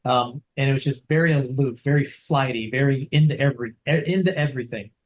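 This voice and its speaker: A man who trains dogs, talking casually over a video call, soft-spoken and rambling, often checking in with "right?". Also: medium pitch, a little fast. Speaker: dog trainer.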